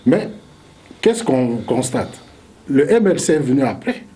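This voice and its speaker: A man debating as a historian, measured and reasoning aloud with rhetorical questions. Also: mid-range voice, sing-song, warm tone. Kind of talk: debate argument.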